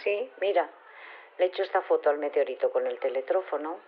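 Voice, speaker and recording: A woman playing a meek, quiet lady bystander in an audio drama, speaking tentatively through a telephone filter. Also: sits low, animated, a little fast, breathy edge. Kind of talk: audio drama phone dialogue. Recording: dull, noisy phone line, clicks.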